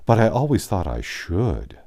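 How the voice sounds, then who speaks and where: A man's voice: low-pitched, very animated, a little slow, dark tone, full character voice. A man voicing a gushing, insincere young woman with emphatic, effusive delivery in a storybook reading.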